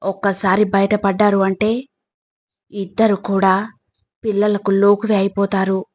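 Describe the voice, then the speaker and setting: A woman reading scripted motivational narration in a deliberate, instructive way, addressing the listener directly. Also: medium pitch, little pitch movement, a little mellow.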